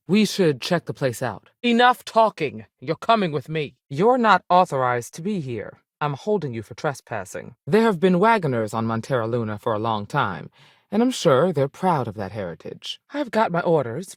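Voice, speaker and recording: A woman's voice: deep voice, no echo, clean studio sound. A woman playing a seasoned, firm but fair space ranger in a video game, plainspoken and authoritative, with folksy turns of phrase. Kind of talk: video game character dialogue.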